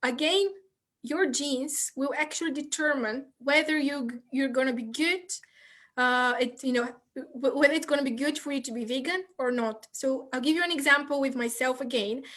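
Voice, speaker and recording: A woman's voice: high-pitched, a bit bright. A woman from Bulgaria, a nutritionist guest patiently explaining answers on a video call and often restarting her sentences. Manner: measured, explains step by step with many restarts.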